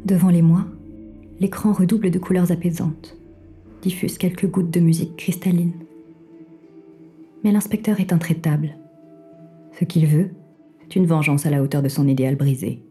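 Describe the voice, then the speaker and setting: Low, very dark-toned woman narrating. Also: fairly even intonation, moderate pace, pauses often, faint music behind. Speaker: narrator and AI companion character. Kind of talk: audio drama narration.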